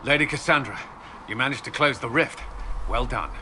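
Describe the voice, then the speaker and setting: A man's voice: medium pitch, moderate pace, pushed and bright. An actor playing a sober, wary male military commander in a fantasy game. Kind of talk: dramatic fantasy game acting. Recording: clear outdoor ambient noise behind.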